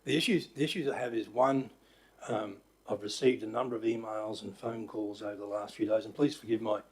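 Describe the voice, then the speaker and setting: A man with an Australian accent chairing a council meeting as mayor, his voice croaky, talking in a hesitant, polite way with many fillers. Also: lowish voice, fairly even intonation, a little fast. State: croaky voice after illness. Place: council chamber.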